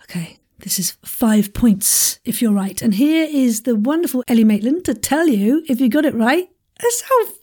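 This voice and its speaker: A woman hosting a podcast quiz in a playful, chatty way, with self-deprecating asides and a husky voice. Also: fairly high pitch, very animated, moderate pace, warm tone.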